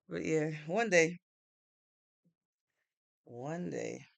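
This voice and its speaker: A woman's voice: low voice, sing-song, extremely slow, vocal fry. A woman from Connecticut in the United States hosting a live stream, chatting casually and answering viewer comments in an easygoing, thinking-aloud way. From Norwalk, Connecticut, United States.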